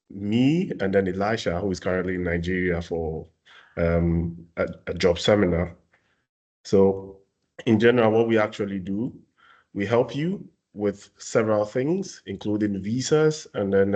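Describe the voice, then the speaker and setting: Deep-voiced man from Ghana, hesitant. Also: a little mellow, muffled recording. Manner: hesitant, many fillers. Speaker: talent services staff presenting a webinar. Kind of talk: casual webinar presentation.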